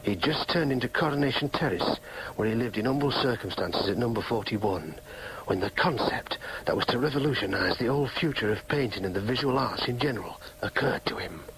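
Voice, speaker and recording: An actor playing a frustrated, defiant young working-class son with a Northern English accent, argumentative and self-dramatising, in a radio drama. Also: male voice, medium pitch, lively intonation, fairly quick, hardly any pauses, close to an everyday voice, rough recording. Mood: grandiose, hushed. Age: young adult. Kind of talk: British radio drama acting with interior monologue.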